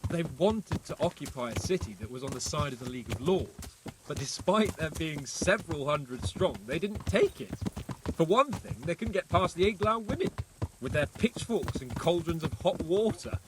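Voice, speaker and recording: A man's voice: fairly high pitch, lively intonation, moderate pace, noisy background, close to an everyday voice. An actor playing a cocky, worldly young medieval nobleman with a flippant, wry way of talking in video game dialogue. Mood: amused, gossipy.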